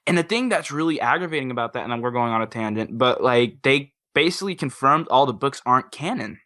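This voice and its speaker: Bright-voiced man chatting informally. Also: medium pitch, expressive, fast talker. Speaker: podcast co-host. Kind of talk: casual chat.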